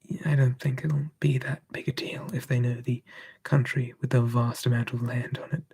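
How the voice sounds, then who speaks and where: ASMR streamer, gravelly mellow whisper, close mic, easygoing chat. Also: mid-range voice, little pitch movement, normal speed. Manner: soft, drifting between whisper and quiet voice, musing.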